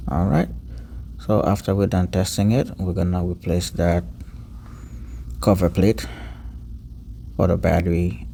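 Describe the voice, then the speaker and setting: A man giving an unscripted step-by-step repair tutorial, pausing mid-sentence and repeating words as he goes. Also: low-pitched, steady tone, gravelly, dark tone, a little hiss or hum.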